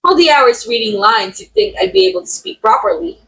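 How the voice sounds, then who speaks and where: A woman's voice: very high-pitched, expressive, fairly quick, gravelly, sharp tone, exaggerated voice, rough recording. A woman playing a vain, murderous method actress in an audio roleplay, coy, theatrical and condescending, speaking straight to the listener. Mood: coy, imperious. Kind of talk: ASMR roleplay acting, addressing the listener.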